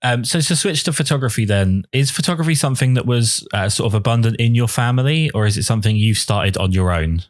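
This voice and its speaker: An English man hosting a podcast, briskly asking his guest questions. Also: mid-range voice, no room sound, studio quality. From England. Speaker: podcast host. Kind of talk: interviewing a guest.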